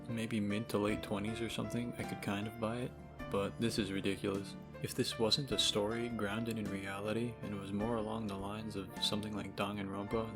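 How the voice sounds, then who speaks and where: Low-voiced sarcastic man with music behind. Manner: sarcastic, profane, informal. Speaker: video game reviewer. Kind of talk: casual opinionated video essay commentary. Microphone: cheap microphone.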